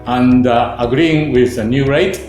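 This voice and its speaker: A man's voice: medium pitch, a little slow. Man narrating over music, echoey. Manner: steady, listing points one by one. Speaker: corporate video narrator. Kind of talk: scripted narration.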